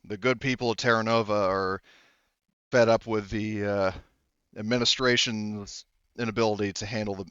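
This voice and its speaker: A man voicing a weary, businesslike colony sheriff in a tabletop game, briefing in a halting, matter-of-fact way. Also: lowish voice, fairly even intonation, fairly bright tone, smooth, no room sound, dull audio, lightly acted. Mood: weary, resigned.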